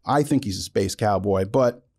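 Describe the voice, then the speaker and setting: A man's voice: on the low side, normal speed, a little mellow. A man hosting an interview show, effusive and reverent, speaking with dramatic emphasis and enthusiasm. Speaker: podcast host. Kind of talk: enthusiastic interview with a prepared introduction.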